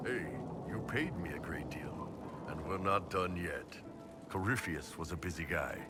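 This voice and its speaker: An actor playing a big, jovial mercenary warrior in a fantasy game, blunt and swaggering. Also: male voice, deep voice, measured pace. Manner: blunt, laid-back swagger. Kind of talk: dramatic game dialogue. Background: music.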